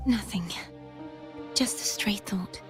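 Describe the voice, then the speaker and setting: A woman playing a solemn, wistful young maiden knight in a video game, soft and restrained. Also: mid-range voice, drawn-out words. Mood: wistful, tender. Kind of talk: English video game voice acting. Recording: game audio with clear music behind. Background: background music.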